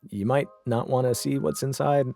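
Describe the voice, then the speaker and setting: A man's voice: medium pitch, steady tone, normal speed, gravelly, mellow. A man talking casually as a podcast guest, telling stories in an informal, opinionated way. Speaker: podcast guest, audio drama creator. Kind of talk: casual interview chat.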